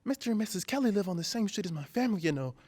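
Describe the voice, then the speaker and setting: A woman's voice: medium pitch, lively intonation, rapid-fire, dark tone, natural voice. A woman playing a skeptical, easygoing ten-year-old kid in an old-time radio drama, talking in folksy slang. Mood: reluctant. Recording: long hall-like reverb.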